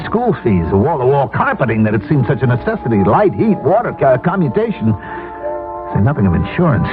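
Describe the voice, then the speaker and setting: An actor playing an anxious middle-aged American executive who has lost his job, brooding and halting in 1970s radio drama style. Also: mid-range voice, close to an everyday voice. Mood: anxious, panicky. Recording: muffled old radio recording, music behind.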